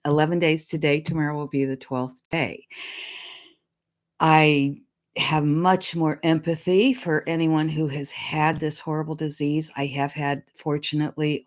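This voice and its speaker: A woman psychic giving her weekly message in a casual way, her voice deep and hoarse from congestion as she recovers from illness. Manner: chatty, apologetic, clears throat. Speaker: psychic channeling a spirit guide.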